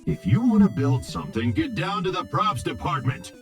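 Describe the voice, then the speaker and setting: An actor playing a vain, grumbling robot film director from a game, with acid-tongued, theatrical Hollywood patter. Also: male voice, high voice, big pitch swings, normal speed, creaky, soft background music, heavily acted. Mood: sarcastic.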